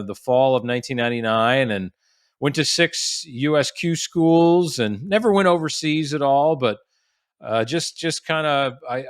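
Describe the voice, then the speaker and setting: A man in his forties from the United States tells stories easily as a podcast guest, restarting sentences and leaning on fillers. His voice sits in an ordinary middle pitch. The recording is dry and close, with no room sound. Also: fairly bright tone, clean tone, no echo. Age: 40s. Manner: chatty storyteller with frequent restarts and fillers. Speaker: guest, golf professional. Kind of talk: casual podcast interview.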